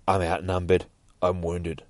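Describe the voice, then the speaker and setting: A man's voice: sits low, monotone, measured pace, dry recording, pitched below the performer's own voice. A righteous comic-book vigilante, acted by a man telling the story. He is grim and stubborn, making short, grave declarations. The recording is dry and close. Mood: defiant.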